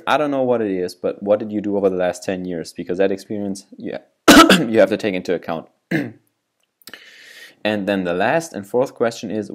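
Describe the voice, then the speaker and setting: A man's voice: fairly low pitch, darkish tone. German-native man, rambling self-help tips. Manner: loose and rambling, restarts and asides. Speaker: video creator giving self-improvement advice. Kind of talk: casual explainer talking to camera.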